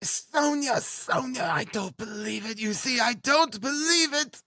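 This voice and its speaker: Desperate poor widow, high-pitched, defiant, wailing, dramatic storybook acting, dry sound. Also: male voice, sharp tone, no room sound, exaggerated voice. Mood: fierce protectiveness. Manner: wailing, dramatic, breaks into drawn-out cries. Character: frantic, defiant impoverished widow, shrill and desperate, fiercely protective. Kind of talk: dramatic audiobook character acting.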